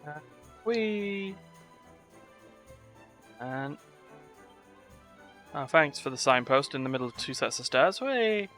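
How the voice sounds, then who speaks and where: A man's voice: medium pitch, lively intonation, slow, pauses often, sharp tone. A man streaming a game, giving casual commentary full of muttered asides and wry exclamations. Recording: game music behind.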